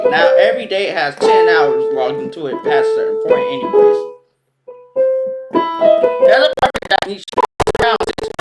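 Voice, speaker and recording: Male vlogger with a lively, rambling delivery. Loud piano music in the background. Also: on the high side, poor audio. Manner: meandering, hesitant, with frequent ums and restarts. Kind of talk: rambling casual monologue. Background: loud piano and keyboard music.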